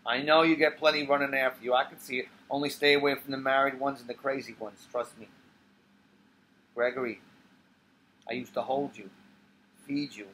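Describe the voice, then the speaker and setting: A man's voice: mid-range voice, a bit bright, a little hiss or hum, close to an everyday voice, rough recording. A man playing a drunken old woman in a stage play, coarse, sentimental and doting, rambling as she talks. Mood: wounded, fond. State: acting drunk.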